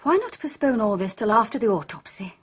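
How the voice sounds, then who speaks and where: Young woman, direct and smart, breathy, a bit high, radio play. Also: sing-song, fairly quick, heavily acted. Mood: uneasy, direct. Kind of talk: radio drama acting.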